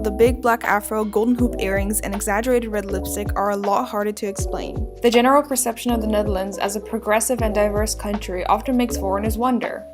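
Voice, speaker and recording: Woman narrator doing a scripted explainer, medium pitch voice.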